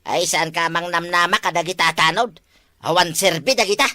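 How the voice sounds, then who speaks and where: Bright-voiced, fretful village gossip played by a woman. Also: on the low side, normal speed. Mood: gossipy, grumbling. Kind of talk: Ilocano village comedy drama acting.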